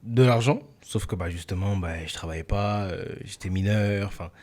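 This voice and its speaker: A man's voice: lowish voice, moderate pace, a little mellow. A twenty-year-old man co-hosting a podcast in a studio, chatting loosely with trailing phrases. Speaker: podcast co-host, freelance graphic designer. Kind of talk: casual chat.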